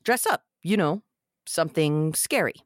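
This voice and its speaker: Woman reading a wisecracking, animated young man. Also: low-pitched, a little slow, no room sound, studio quality. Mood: wry. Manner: sarcastic, self-deprecating. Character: wisecracking awkward young man, loyal to friends. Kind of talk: comedic script table read.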